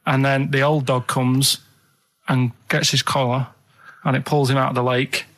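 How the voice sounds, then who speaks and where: A man's voice: mid-range voice, level tone, moderate pace, a bit bright. Male radio co-host, plain-spoken and rambling, casual anecdote style.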